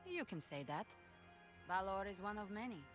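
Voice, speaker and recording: An actress plays a young woman warrior in a fantasy game, cool and commanding. Her phrases are terse and assured, with lively, swinging intonation. Soft music runs underneath. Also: mid-range voice, moderate pace, close to an everyday voice. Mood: guarded, serious. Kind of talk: video game voice acting. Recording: music behind.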